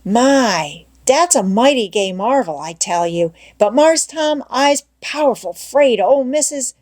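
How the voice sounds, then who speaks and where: Woman as a timid Southern servant boy, raised pitch. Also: expressive, slow, a little mellow. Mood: tempted, nervous. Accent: American South. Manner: fearful, reluctant, old dialect speech. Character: timid, hesitant young servant boy Jim.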